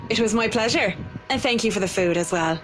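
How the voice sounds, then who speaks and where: Woman acting a higher-voiced, quick-witted young woman. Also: soft background music, natural voice, rough recording. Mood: polite, discreet. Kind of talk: visual novel character acting.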